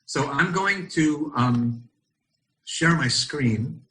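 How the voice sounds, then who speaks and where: A middle-aged American man from Los Angeles, a rabbi leading an online study session over a video call, speaking thoughtfully and often pausing with ums as he searches for words. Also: on the high side, lively intonation, a bit bright, low-quality recording. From Los Angeles, United States. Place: at home in Israel.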